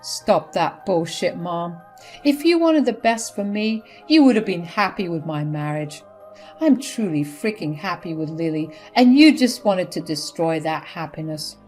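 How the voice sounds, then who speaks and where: A woman's voice: lowish voice, lively intonation, unhurried, a little mellow, faint music behind. A woman voicing an angry adult son who speaks bluntly and firmly. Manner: blunt and firm.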